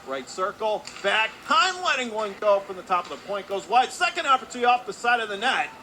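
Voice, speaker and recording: Man, high-pitched voice, live hockey radio commentary, clipped phrases, noisy rink ambience. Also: a little fast. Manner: clipped phrases, swelling with excitement on chances. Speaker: play-by-play announcer. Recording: clear background noise, arena ambience.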